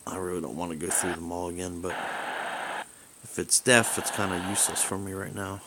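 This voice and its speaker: A man talking casually and unscripted about a gadget, halting and rambling as he often trails off. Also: low voice, flat delivery. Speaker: video creator reviewing radio gear. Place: small room indoors at home. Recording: hissy home video with background noise.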